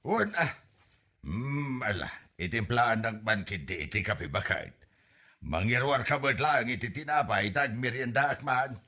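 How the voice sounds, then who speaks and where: A man's voice: medium pitch, big pitch swings, measured pace, sharp tone, heavily acted. A man acting a shifty, money-grubbing village captain in an Ilocano comedy drama, with an Ilocano accent. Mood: evasive.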